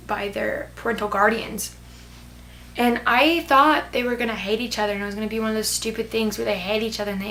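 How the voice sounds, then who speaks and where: A young woman reviewing a book she loved in a casual, rambling vlog, hoarse as she loses her voice. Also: a little high, fairly bright tone, a little hiss or hum. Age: teens. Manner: gushing, rambling with many "like"s. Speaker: book vlogger.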